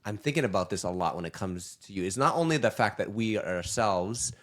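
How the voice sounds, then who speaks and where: A man with a low-pitched voice speaks in a reflective, conversational style. He sounds like a host thinking aloud. His phrasing is measured and searching as he works through each thought. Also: lively intonation, fairly bright tone.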